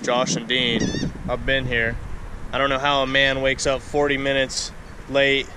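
A man's voice: mid-range voice, monotone, measured pace, noisy background. A man in his twenties chatting casually to his viewers like a fishing vlogger, informal and teasing. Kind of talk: casual vlog chat to viewers. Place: car and open concrete fishing pier. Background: music.

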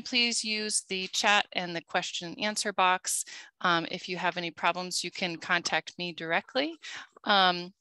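Gravelly, bright-voiced woman host. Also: mid-range voice, dry recording. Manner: casual, frequent ums. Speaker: program coordinator and host. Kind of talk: seminar introduction.

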